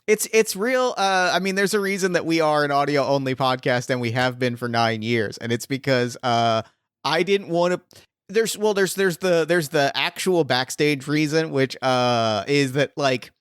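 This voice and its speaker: A man's voice: fairly high pitch, moderate pace, a bit bright, clean tone, no room sound, studio quality. A man hosting a podcast as game master, talking casually and often restarting as he searches for words. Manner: rambling, gropes for words with many restarts.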